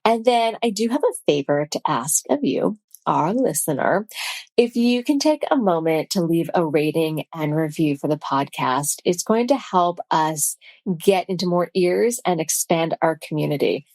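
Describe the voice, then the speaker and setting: A woman's voice: fairly low pitch, animated, moderate pace, a little mellow, no echo. A woman podcast host reading a scripted, enthusiastic intro and show promos into a close studio mic. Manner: upbeat, enthusiastic, self-described science geek.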